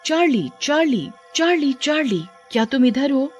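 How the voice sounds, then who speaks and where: A woman's voice: high-pitched, lively intonation, moderate pace, darkish tone, muffled recording, voice pushed up. Woman acting a stern young woman, music behind. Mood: summoning. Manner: earnest, warning delivery. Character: bold, stern young woman leading a spirit game. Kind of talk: scripted Hindi audio story acting.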